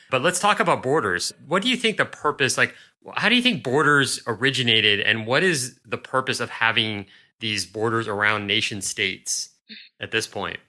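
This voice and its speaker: A man's voice: medium pitch, sharp tone. A man hosting a casual interview, agreeable and asking open questions. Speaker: podcast host, interviewer.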